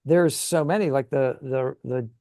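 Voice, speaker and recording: A man's voice: mid-range voice, measured pace, dark tone, no echo, studio quality. A man co-hosting a gaming show, talking casually and enthusiastically as he thinks aloud. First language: English. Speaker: co-host of a tabletop gaming show. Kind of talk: casual conversational chat.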